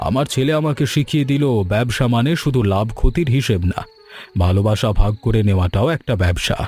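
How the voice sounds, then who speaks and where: A man's voice: medium pitch, fast, a little mellow, lightly acted. An actor playing a sentimental older sweet-shop owner, a father speaking earnestly in a village cartoon. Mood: moved, proud. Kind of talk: dramatized cartoon dialogue. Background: background music.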